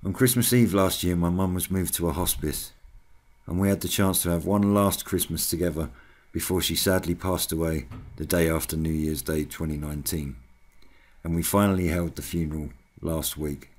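A man's voice: low voice, steady tone, moderate pace, a little mellow. A British man hosting a hobby video channel, giving a scripted voice-over update to his viewers.

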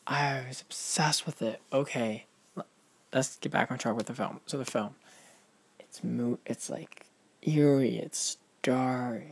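A man giving a casual film review in a vlog, emphatic and gushing, often restarting his sentences. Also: mid-range voice.

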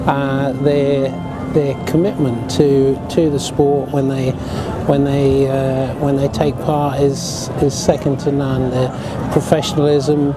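A man at the side of a race being interviewed, halting and repetitive, level in tone under loud music. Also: medium pitch, flat delivery. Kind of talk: documentary interview.

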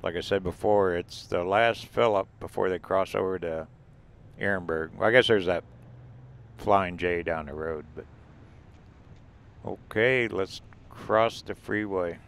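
A man with a low, gravelly voice talks in an easy, rambling way, recorded from inside a vehicle as he drives. His words come through smooth and clear, and he points out each sight as it passes. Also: measured pace. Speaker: RV travel vlogger. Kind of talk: casual narrated drive and drone tour. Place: inside a vehicle driving desert roads.

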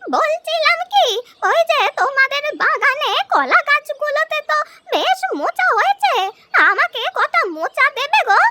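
Very high-pitched wheedling old woman. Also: very animated, no echo, exaggerated voice. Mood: coaxing. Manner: slow, drawn-out, wheedling. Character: wheedling, scheming old village beggar woman. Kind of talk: children's cartoon voice acting. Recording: loud music behind the voice.

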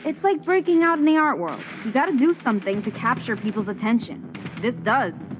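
A woman's voice: on the high side, expressive, fairly quick, faint music behind, close to an everyday voice. An actress playing an enthusiastic young woman with a breezy, assured way of talking in a conversational video game scene.

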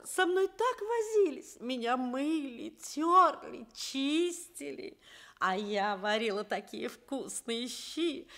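Slow, high-voiced, plaintive old cooking pot. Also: female voice, a bit bright, exaggerated voice. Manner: plaintive, lamenting, drawn-out delivery. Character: old sooty cooking pot, tearful and aggrieved. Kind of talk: Soviet children's radio play acting.

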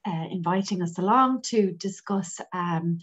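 A woman's voice: lowish voice, measured pace, slight background noise. A woman with an Irish accent giving an informal academic presentation over a video call, hesitating often. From Ireland. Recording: dull lo-fi online call audio.

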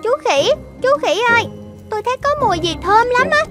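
A very high, childlike kitten voice for a children's cartoon. It is cutesy, naive and a bit vain, always eager to please. Music plays behind it. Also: female voice, sing-song, a little fast. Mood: sweet, eager. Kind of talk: animated children's cartoon dubbing.

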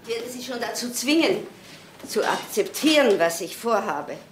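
An actress playing a brittle, evasive and stubborn wife under suspicion in a crime drama, hesitant and repeating herself. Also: female voice, on the high side, expressive, normal speed, a little hiss or hum, natural voice. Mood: insistent, agitated. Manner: hesitant, repetitive, insistent. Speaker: actress as murder suspect.

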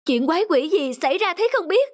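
A woman's voice: very high voice, big pitch swings, brisk. A woman voicing a greedy, whiny poor young man in a fairy-tale cartoon, exclaiming and pleading. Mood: bewildered. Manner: exclamatory, pleading, stammering in alarm. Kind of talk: animated fairy-tale dubbing.

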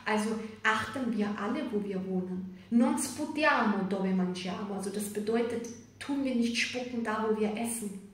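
A woman's voice: medium pitch, moderate pace. A woman, giving a rambling, chatty tarot reading with many fillers and tag questions. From Germany, of Sicilian parents. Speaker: tarot reader. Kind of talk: casual spoken tarot reading. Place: indoors, room with a card table. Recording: echoey room, voice from a distance.

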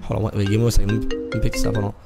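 A man's voice: fairly low pitch, little pitch movement, racing, creaky, over loud music. An American man chatting on a casual gameplay stream in brash, profane, repetitive street slang. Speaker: live streamer playing a GTA roleplay game.

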